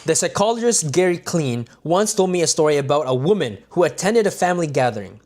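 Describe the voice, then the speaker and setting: A man's voice: on the high side, expressive, fairly quick, darkish tone. A man reading a self-help book aloud on a livestream, with stumbles and restarts and casual asides to his viewers.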